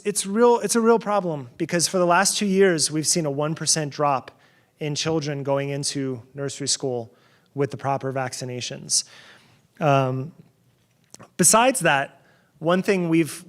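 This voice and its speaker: A middle-aged man from New Jersey giving a conference keynote in a conversational way, with sarcastic asides and frequent restarts. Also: a little high. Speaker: keynote speaker.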